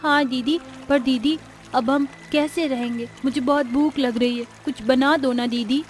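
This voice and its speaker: A little sister from an animated fable voiced by a woman speaks in a high, girlish pitch. She is earnest, needy and emotional, with faint music behind her. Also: a little slow. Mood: pleading, hungry. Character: young orphan girl, needy and grateful little sister.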